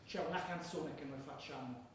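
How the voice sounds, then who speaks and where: An actor in a radio drama playing a fervent football ultra who tells stories in a colloquial, emphatic way. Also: male voice, fairly high pitch, normal speed, close to an everyday voice. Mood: earnest, proud. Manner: colloquial, emphatic, bursting into shouts and chants. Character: fervent male football ultra recounting matches and brawls. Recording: echoey room with faint noise.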